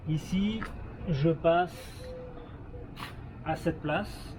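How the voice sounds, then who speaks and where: A Québécois man in his thirties talks casually and haltingly, slipping in frequent fillers as he walks through a car's features. His voice sits a little high for a man. Clear outdoor traffic noise runs behind him on a handheld camera recording. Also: darkish tone. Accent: Québécois French. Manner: hesitant, frequent "euh" fillers and restarts, explaining features step by step. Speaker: travel vlogger. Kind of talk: casual walkaround of a new car. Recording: noisy outdoor ambience with vehicle sounds.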